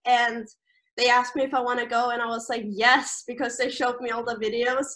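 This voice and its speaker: A woman's voice: on the high side, flat delivery, normal speed, a bit bright. A young woman from Austria, a native German speaker with an Austrian German accent, chatting casually about camp in an interview over a video call, full of fillers and restarts. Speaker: camp staff member.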